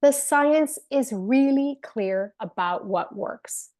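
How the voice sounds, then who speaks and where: A woman's voice: high-pitched, expressive, slow pace, a little mellow. A woman presenting an online teacher-training webinar in an earnest way, with some fillers and restarts, speaking into a computer mic on a video call. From family from Venezuela, raised in Spain and the UK. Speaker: webinar presenter, company co-founder.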